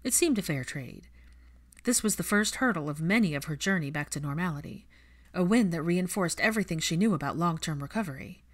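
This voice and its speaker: A woman's voice: on the low side, expressive, brisk. A woman reading fiction aloud as an audiobook narrator, in scripted storytelling style.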